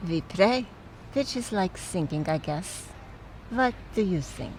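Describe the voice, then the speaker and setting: A woman acting in an audio drama as a wry, skeptical mourner, talking in a measured, conversational way over background noise. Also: lowish voice, expressive, darkish tone, rough recording. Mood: uneasy, subdued.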